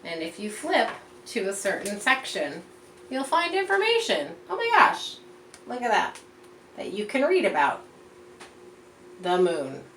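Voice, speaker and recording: A woman reading a picture book aloud to children in an expressive, teacherly way, pausing to explain and point things out. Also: medium pitch. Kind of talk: read-aloud storytelling with explanatory asides. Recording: roomy echo, distant mic, faint background noise.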